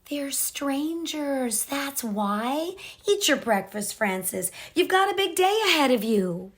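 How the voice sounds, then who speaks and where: A woman voicing a busy, dismissive mother in a storybook, brisk and matter-of-fact. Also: sits high, lively intonation, voice pushed up, full character voice. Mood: firm. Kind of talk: storybook character reading.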